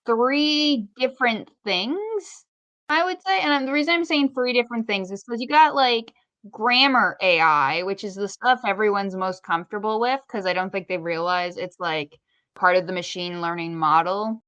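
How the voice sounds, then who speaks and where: A woman's voice: medium pitch, normal speed, fairly bright tone, no room sound, highs cut off. A woman co-hosting a podcast, explaining things in an informal, conversational way. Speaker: podcast co-host. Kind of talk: casual conversational podcast chat.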